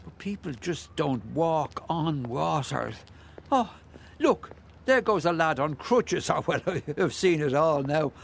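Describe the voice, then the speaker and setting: An older Irish bricklayer in a radio drama, with a voice pitched a little high. He is chatty and nosy, musing and hesitating as he rambles on, full of wonder. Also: expressive, takes it slowly, gravelly, slight background noise, full character voice. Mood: wistful, amazed. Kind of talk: radio play acting.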